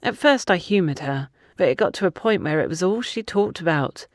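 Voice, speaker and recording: Female audiobook narrator, slightly low pitch, dry studio-close sound, telling a novel. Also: expressive, fairly quick, no echo. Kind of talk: scripted fiction narration.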